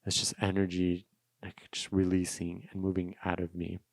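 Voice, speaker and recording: A man with a low voice and a flat, even delivery, a native Russian speaker. He hosts a solo podcast, talking reflectively and off the cuff about personal experience, often repeating a phrase for emphasis. The sound is dry, with no room around it. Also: moderate pace, dry recording. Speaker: podcast host. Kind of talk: unscripted solo podcast monologue, personal storytelling.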